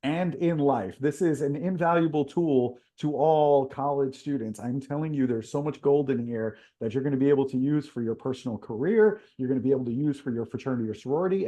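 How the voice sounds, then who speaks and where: A man's voice: mid-range voice, mellow. A man hosting a podcast interview in a casual, upbeat way, often laughing and praising his guest. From Israel. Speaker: podcast host.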